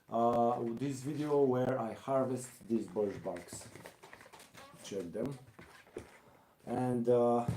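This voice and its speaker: A man from Bulgaria with a Bulgarian accent, giving a casual live craft demonstration, haltingly thinking aloud as he works. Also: medium pitch, warm tone, roomy sound, slight background noise, low-quality recording. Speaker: craftsman hosting a live stream.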